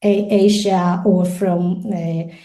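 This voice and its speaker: Reflective woman with a mellow voice. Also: fairly low pitch, little pitch movement, slow pace. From Colombia. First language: Spanish. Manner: thoughtful, many restarts and repeated words, light laughs. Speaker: guest, international educator. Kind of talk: conversational podcast interview.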